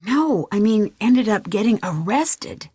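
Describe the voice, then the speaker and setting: A woman's voice: mid-range voice, animated, slow, warm tone, husky, no echo. An actress playing a curious, level-headed young female detective, chatting earnestly and a little wryly in a video game. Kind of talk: conversational game dialogue, one side of phone calls.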